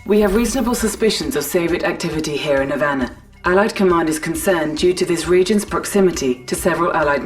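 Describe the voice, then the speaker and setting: A woman acting as a composed military mission announcer in a video game, formal, measured and impersonal. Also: low-pitched, distant mic. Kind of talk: scripted game mission briefing.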